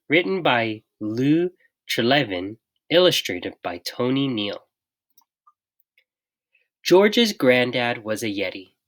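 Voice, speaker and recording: A reader giving an expressive, unhurried children's picture book read-aloud with dramatic pauses. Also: mid-range voice. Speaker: storybook reader.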